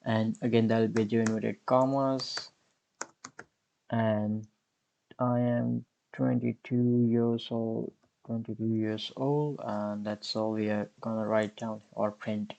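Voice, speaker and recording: Young guy walking through code, slow and unhurried, keyboard clicks in back. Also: fairly low pitch, level tone, vocal fry, mellow, muffled recording. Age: 20s. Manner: unhurried, explains step by step while typing. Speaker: coding tutorial host. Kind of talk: screen-recorded programming tutorial.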